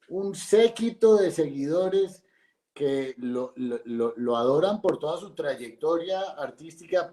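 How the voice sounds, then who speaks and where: A man's voice: fairly high pitch, warm tone, roomy sound. A male host speaking effusively and admiringly to his guest, with hesitant restarts. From Italy. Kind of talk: casual interview.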